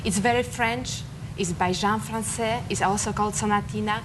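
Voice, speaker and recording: A woman pianist from Poland, calmly explaining a recital program as a TV studio guest, with slightly phrasing. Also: medium pitch, normal speed, background noise.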